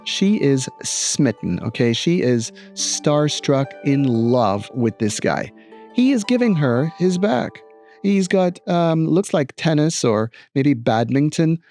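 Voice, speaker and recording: Male teacher, middle-aged, mellow tone, lively intonation, read-aloud with wry, expressive commentary. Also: fairly high pitch, faint music behind. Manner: expressive, deliberate emphasis with wry asides.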